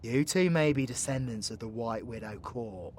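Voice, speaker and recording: A man's voice: medium pitch, normal speed, a little mellow, slight background noise, natural voice. A British man playing a cocky, foul-mouthed, joking young warlock in an audio roleplay, with a cheeky, slangy delivery. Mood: urgent warning.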